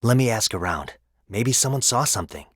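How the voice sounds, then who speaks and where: An actor playing a kindhearted, easygoing young village apothecary in a video game, talking in a folksy, casual way. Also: male voice, mid-range voice, expressive, brisk, breathy edge, dry recording. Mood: concerned. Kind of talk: video game cutscene acting. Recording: background music under the voice.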